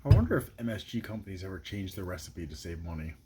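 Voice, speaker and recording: A middle-aged man reviewing food on his phone camera at home, talking in a dry, low-key musing way. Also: lively intonation, fast talker, warm tone, a little hiss or hum. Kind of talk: casual taste-test vlog. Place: small indoor room at home. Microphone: phone camera at arm's length.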